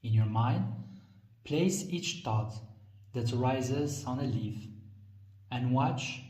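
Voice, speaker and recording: A man reading a guided meditation script in a calm, measured way with frequent pauses. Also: mid-range voice. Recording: roomy echo, distant from the mic.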